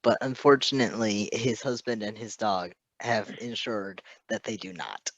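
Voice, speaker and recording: A woman's voice: extremely low pitch, fairly even intonation, moderate pace, no echo. A woman chatting casually as she follows along with a story reading. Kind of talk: casual chat during a read-along.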